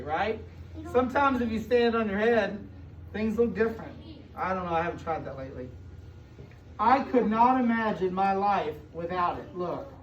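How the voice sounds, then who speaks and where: An American woman reads a picture book aloud to young children, pausing to explain and ask questions, with emphatic stretched words. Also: medium pitch. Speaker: school superintendent reading aloud to children. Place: indoor room with children present. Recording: distant, echoey, muffled room recording with noise.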